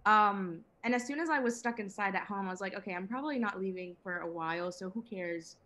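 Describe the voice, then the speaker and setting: A woman's voice: mid-range voice, a little fast, fairly bright tone. Chatty eighteen-year-old woman from Venezuela. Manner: chatty, laughs often, many fillers and restarts. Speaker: singer-songwriter guest. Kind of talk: casual interview chat.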